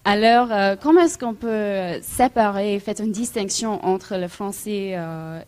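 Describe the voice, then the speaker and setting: A woman doctoral student from Illinois asking a hesitant, halting question from the audience at a conference. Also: medium pitch, a little mellow, clean tone. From Illinois, USA.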